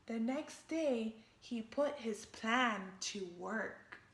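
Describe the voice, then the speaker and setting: Female storyteller, teacherly and animated, very unhurried children's read-aloud in a roomy space. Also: on the high side. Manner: deliberate, animated, instructive. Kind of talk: expressive children's read-aloud with questions to listeners. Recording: roomy, echoey sound.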